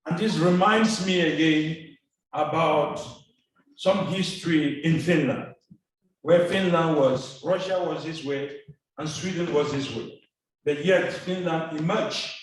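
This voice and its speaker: Male seminar speaker from Cameroon, slightly high voice, measured formal delivery, picked up from afar in a roomy hall. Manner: slow, deliberate, formal phrasing. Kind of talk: lecture presentation. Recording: echoey hall from a distance.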